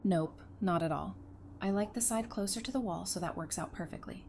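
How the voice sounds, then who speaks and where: A woman playing a caring, gently teasing young survivor in an audio drama. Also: mid-range voice, brisk, gravelly, a little hiss or hum, natural voice. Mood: playful. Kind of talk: fan audio drama acting.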